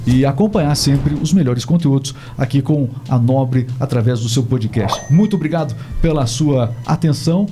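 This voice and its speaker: A man's voice: on the high side, dark tone. A man hosting a studio podcast interview, chatty and full of tag questions. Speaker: podcast host. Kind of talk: casual interview chat.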